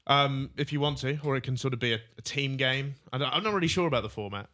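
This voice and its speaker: A man's voice: mid-range voice, quick pace, sharp tone. A man co-hosting a casual comedy podcast, joking around and running a quiz game. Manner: jokey, runs a quiz segment. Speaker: podcast co-host.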